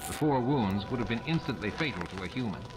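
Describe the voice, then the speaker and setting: A man's voice: fairly low pitch, animated, fast, rough recording. A man reading a science fiction novel as scripted audiobook narration. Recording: music and effects under the voice. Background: music and sound effects.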